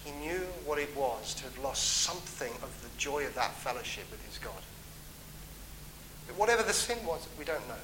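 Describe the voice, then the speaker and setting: A man preaching a sermon in an earnest, pleading way, his voice lifting for emphasis and dropping soft at the ends of phrases. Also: medium pitch, lively intonation, moderate pace, noisy background. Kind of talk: sermon on a psalm.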